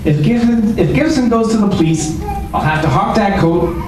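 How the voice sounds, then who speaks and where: A man playing a nervy, bullying small-time crook in an old-style radio crime drama, pushy and stammering, in rough street slang. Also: high-pitched, lively intonation, normal speed. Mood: scheming, tense. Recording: echoey, distant, noisy hobby radio recording.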